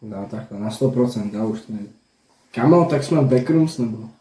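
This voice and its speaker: A man's voice: mid-range voice, mellow. A young man chatting casually as a reaction host, joking and swearing in slang. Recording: roomy echo, faint noise and clicks.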